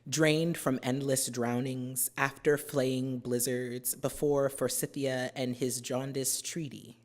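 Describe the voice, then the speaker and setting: An American woman from New Jersey giving a poetry reading, chatting through her introductions with many fillers and reading the verse slowly and with care. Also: rumbling low, level tone. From New Jersey, USA. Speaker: poet. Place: university symposium venue.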